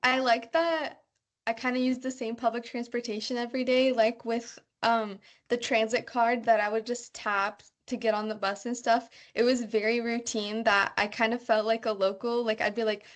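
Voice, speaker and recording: A woman's voice: fairly high pitch, level tone, moderate pace. A young woman, a student abroad, chatting casually about daily life, with many fillers and "like"s. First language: bilingual. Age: young adult. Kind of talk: casual conversation.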